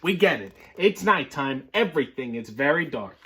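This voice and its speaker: A man reading a children's picture book aloud, breaking off for sardonic, deadpan comic asides. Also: fairly high pitch, normal speed, husky.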